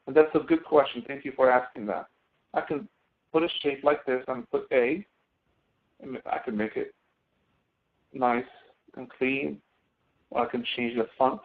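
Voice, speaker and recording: A male instructor heard through a thin, phone-like connection. He talks through an on-screen software demonstration in a halting, step-by-step way, as in a live online lecture. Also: mid-range voice. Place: online screen-share session.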